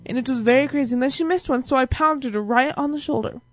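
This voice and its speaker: Young woman, high voice, giggly, rambling silly chat, dry close sound. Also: fast, no echo. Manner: giggly, silly, jumps between stories. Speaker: host of an online talk stream.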